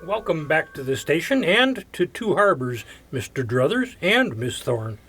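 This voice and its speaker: An actor playing an affable, wry community radio host in an audio theater mystery. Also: male voice, a little high, sing-song, measured pace, a bit bright, slightly rough, faint music behind, full character voice. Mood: welcoming.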